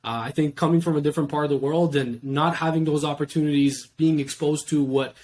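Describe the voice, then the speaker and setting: A young man from Iran, a podcast guest, talking in a steady, reflective way. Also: a little high, brisk. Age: young adult. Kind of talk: casual interview talk.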